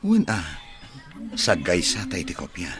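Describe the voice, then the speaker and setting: An actor playing an insistent, well-off young man in an Ilocano radio drama, with a husky voice. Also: low voice, wildly swooping pitch, a little slow, over music, exaggerated voice. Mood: businesslike. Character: wealthy young man of a mansion, insistent, obedient son.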